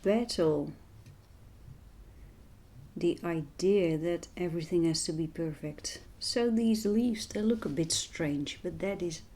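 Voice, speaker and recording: Slightly hoarse lady narrating a painting tutorial, slow and mellow, goes quiet and whispery sometimes. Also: lowish voice. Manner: soft-spoken, unhurried, drifting into quiet near-whispers. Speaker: art tutorial host and voice teacher.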